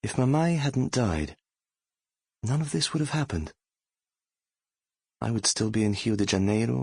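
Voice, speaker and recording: An actor playing a nostalgic, regretful middle-aged doctor, narrating an audiobook in a measured, reflective way. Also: male voice, deep voice, no echo. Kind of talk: first-person audiobook narration.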